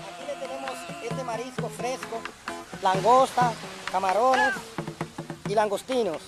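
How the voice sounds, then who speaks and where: A seafood vendor giving a sales pitch, listing the wares loudly. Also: measured pace. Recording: voice from afar under loud music. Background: music.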